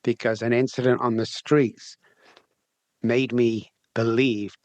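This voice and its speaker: A man's voice: mid-range voice, flat delivery, slow, no echo. A middle-aged man from England with a Manchester accent, telling his story candidly in an interview, chatty and often repeating words as he restarts. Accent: Manchester English. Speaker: guest, addiction recovery specialist.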